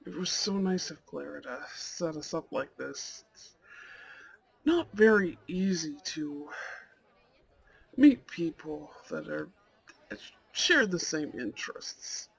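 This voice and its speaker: A man playing a shy, polite, slightly dorky young goth on a blind date, in a romantic ASMR roleplay, with a husky, creaky voice. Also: on the high side, expressive, takes it slowly, exaggerated voice, rough recording. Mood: nervous, sweet. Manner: hesitant, soft-hearted, nervous little laughs.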